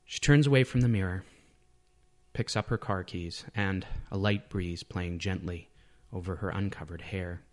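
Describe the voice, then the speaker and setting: A man narrates literary fiction from a script. His voice sits fairly low, and he reads as the author of the piece. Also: moderate pace. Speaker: author reading own short story.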